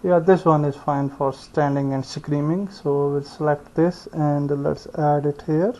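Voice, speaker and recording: A man giving an unscripted step-by-step software tutorial, speaking haltingly and thinking aloud as he works. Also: on the high side, steady tone, a little hiss or hum. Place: indoors, screen-recording voice-over. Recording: dull lo-fi voice recording.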